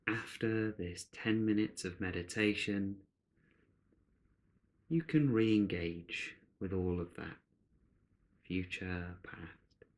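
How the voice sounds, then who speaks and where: A man with a fairly low voice guides a meditation. He speaks very slowly and gently, setting out short phrases with long pauses between them. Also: steady tone.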